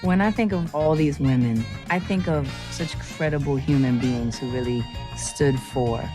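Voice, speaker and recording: A woman's voice: rumbling low, unhurried. Woman in documentary clip over guitar music. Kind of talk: documentary trailer sound bite. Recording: music bed under film clip. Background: plucked guitar music.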